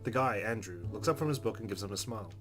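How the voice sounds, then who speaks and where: A man's voice: lowish voice, animated, quick pace. A man hosting a let's play, reading game narration aloud and adding casual commentary. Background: faint game music.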